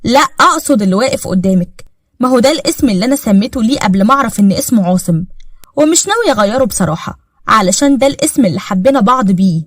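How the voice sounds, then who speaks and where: A woman's voice: fairly high pitch, animated, racing, dry recording, clean studio sound. A woman with an Egyptian accent voicing a brazen young fiancée with a sarcastic, taunting manner. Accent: Egyptian Arabic.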